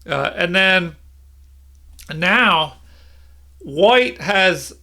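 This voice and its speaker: A middle-aged man giving an unscripted tutorial as an instructor, explaining things in a measured way. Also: high-pitched, lively intonation, vocal fry, bright, forceful tone. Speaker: chess instructor. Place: small room indoors at home.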